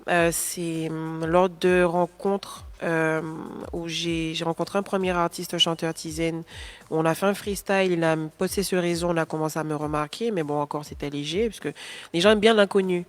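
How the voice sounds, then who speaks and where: A woman's voice: fairly low pitch, steady tone, normal speed. A woman, a guest singer answering interview questions in a studio, talking with many fillers and restarts. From Guadeloupe. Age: 20s. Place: pop-up studio.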